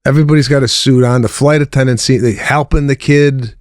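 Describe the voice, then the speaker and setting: A man's voice: mid-range voice, steady tone, brisk, dry recording. Wry man riffing on a podcast. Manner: wry observational riffing, asks rhetorical questions. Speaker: podcast co-host. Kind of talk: casual comedic chat.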